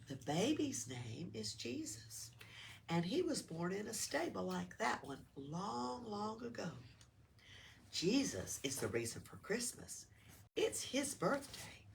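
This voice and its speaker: A woman reading a children's storybook aloud, expressive and patient. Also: medium pitch, slow pace, creaky. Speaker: library story-time reader. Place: public library room. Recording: distant mic with faint room noise.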